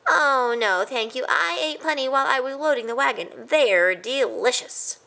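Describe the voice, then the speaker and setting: A woman's voice: high-pitched, lively intonation, moderate pace, vocal fry, sharp tone, voice pushed up, heavily acted. A woman voicing a kind, trusting boy mouse in a children's storybook, eager, naive and full of questions. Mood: cheerful, generous.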